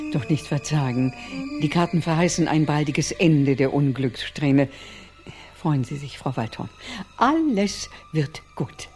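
An actress playing a portentous, soothing fortune teller in a radio crime play, with mystical, drawn-out delivery. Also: female voice, deep voice, big pitch swings, a little mellow, soft background music, heavily acted. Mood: soothing, mysterious. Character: theatrical, soothing female fortune teller reading cards.

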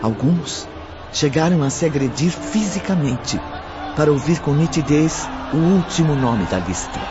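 A man narrating a radio drama in a slow, solemn and dramatic manner. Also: fairly high pitch, expressive, background noise. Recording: dull audio with music underneath.

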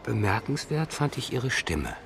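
A man's voice: mid-range voice, sing-song, a little slow, gravelly, noisy background. An actor playing a perceptive blind man questioned in a radio crime drama, phrasing his answers carefully and eloquently. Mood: wry, reserved. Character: perceptive blind male witness, measured and eloquent.

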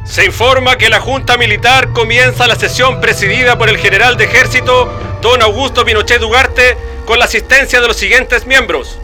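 An actor playing a stern, formal military official declaiming a proclamation in a radio drama. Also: male voice, extremely high pitch, fairly even intonation, normal speed, music in the background.

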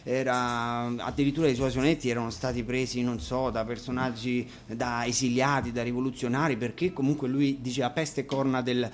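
A man's voice: mid-range voice, level tone, a little fast, few pauses, slight background noise. A man, a musician giving informal, hesitant and digressive explanations between songs at an acoustic concert. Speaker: musician and band frontman introducing songs. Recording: live radio concert with instruments.

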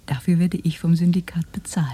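A woman's voice: fairly low pitch, fairly even intonation, brisk, warm tone, husky. An actress playing an enigmatic young woman working for a syndicate, coolly cryptic and teasing with menace, in a German radio drama. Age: young adult.

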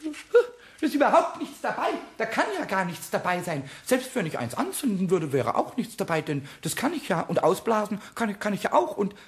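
Small mischievous kobold: high, very animated, excitable and cheeky, muttering rhymes to himself. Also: male voice, brisk. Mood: defiant, tempted. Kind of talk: children's radio play acting.

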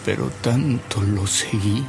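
An actor playing a devout, earnest older man in a Mexican radio drama, speaking solemnly and deliberately with dramatic pauses. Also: fairly low pitch, creaky, music in the background. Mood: solemn, reverent. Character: frail, devout older man, a converted skeptic, reverent and earnest.

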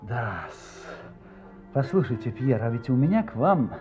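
Unhurried, expressive storyteller friend. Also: male voice, mid-range voice, muffled recording, soft background music. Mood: curious, amused. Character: curious, friendly male narrator, the inspector's companion. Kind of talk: Russian audio drama acting.

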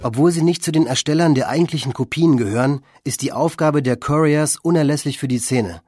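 A man delivering scripted, factual documentary narration in a measured manner. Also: on the high side, darkish tone, husky, no echo, studio quality. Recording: music behind the voice.

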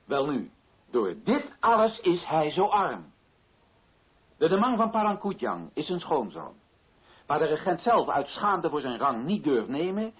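A man's voice: a little high, husky. An actor playing an earnest, indignant colonial official in a radio play, pressing his points with insistent rhetorical questions. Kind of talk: Dutch radio play acting. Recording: muffled old radio recording.

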